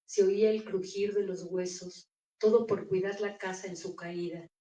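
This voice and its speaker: A woman reading her own poems aloud in a measured, deliberate recital at a bookstore. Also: medium pitch, fairly even intonation, warm tone, recorded from a distance. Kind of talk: poetry reading. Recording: echoey room, voice off-mic.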